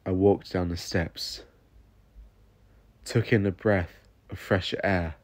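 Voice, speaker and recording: A man narrating a scripted first-person story solemnly, with dramatic pauses. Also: deep voice, steady tone.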